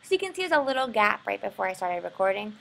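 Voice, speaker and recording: An American woman narrating a software tutorial in an informal, explanatory way. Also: mid-range voice, sing-song, fast, vocal fry, slight background noise. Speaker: software instructor.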